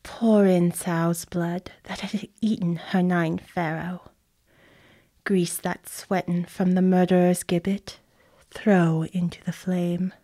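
A sinister witch, played by a woman, casting spells in a lowish voice. She is slow, deliberate and commanding, in classical radio-play style. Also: flat delivery, mellow. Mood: sinister, chanting. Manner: deliberate, incantatory. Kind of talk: Shakespearean radio drama acting.

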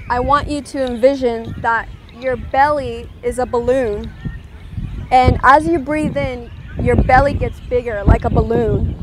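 A woman leading a guided meditation, giving gentle, soothing instructions and checking in with her listeners. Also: high-pitched, a little hiss or hum.

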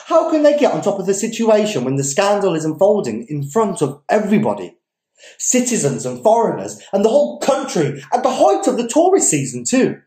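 A young man reads a story aloud in a theatrical, emphatic way. His voice is soft-edged and dark in tone, with deliberate pauses. The room around him rings with noticeable echo. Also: sits high, wildly swooping pitch. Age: young adult. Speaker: story reader. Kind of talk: dramatic reading of literary fiction. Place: indoors, small domestic dining room. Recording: echoey room.

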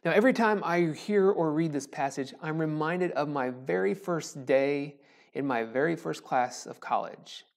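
A man's voice: a little high, darkish tone. A man in his forties, a pastor, giving a recorded sermon in a conversational, joking way from a small church sanctuary, speaking into a lapel mic. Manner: joking, conversational, with pauses and self-deprecating asides. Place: small church sanctuary with a wooden cross behind. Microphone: lapel mic, camera framed from the chest up.

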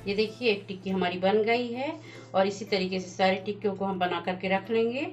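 A woman hosts a home cooking lesson, talking through every step in a plain, instructive way at a middling pitch. The sound is a little dull and lo-fi, with soft music in the background. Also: fairly even intonation, fairly quick. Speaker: cooking channel host. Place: home kitchen at the stove.

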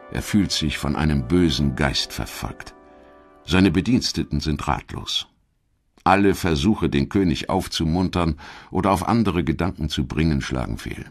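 A man with an extremely low voice narrates a history audiobook. His delivery is measured and every word is clearly articulated, in the style of a scripted documentary.

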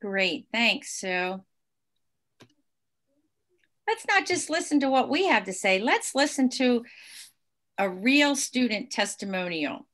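A woman's voice: on the high side, lively intonation, takes it slowly, pauses often, a bit bright. A woman moderating an online presentation, upbeat and enthusiastic as she poses questions to a panel. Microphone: video call.

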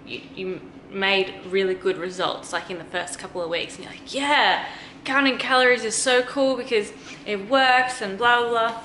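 A woman's voice: medium pitch, bright, forceful tone, echoey room, a little hiss or hum. A young woman in her twenties, a bit sniffly, chatting candidly and rambling to viewers from home, holding the camera at arm's length. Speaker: vlogger. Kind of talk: casual chatty vlog. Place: home kitchen and living room, indoors. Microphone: handheld camera at arm's length.

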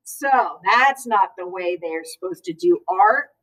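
A middle-aged woman reading aloud at children's story time in an expressive, teacherly manner. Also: lowish voice, slow. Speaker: school librarian reading aloud. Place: school library, indoors. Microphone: far camera. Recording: distant camera microphone.

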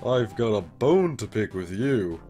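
A man's voice: lowish voice, very animated, a little slow, dark tone. A man voicing a laid-back, wry, protective skeleton older brother in a comic dub, talking in a casual, dry, teasing way. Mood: dryly teasing. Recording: voice over background music.

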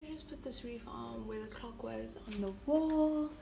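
A woman's voice: on the high side, normal speed, creaky, noisy background. A young woman vlogger chatting to her viewers in an upbeat, casual way while decorating her living room, filmed on a phone held at arm's length. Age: young adult. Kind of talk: casual vlog narration. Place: living room at home, indoors. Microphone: phone camera held at arm's length.

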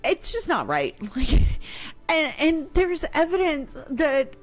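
Slow-paced American woman, fairly high voice. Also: huge pitch swings, faint music behind. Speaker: radio show co-host. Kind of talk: casual radio discussion. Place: room in a home, indoors. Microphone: studio mic on boom arm with headset.

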